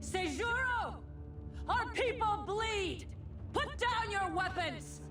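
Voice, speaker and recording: A woman's voice: very high voice, lively intonation, slow, fairly bright tone, faint music behind, full character voice. Commanding, resolute female samurai leader. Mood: defiant, impassioned. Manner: terse, declamatory delivery. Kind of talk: dramatic video game acting.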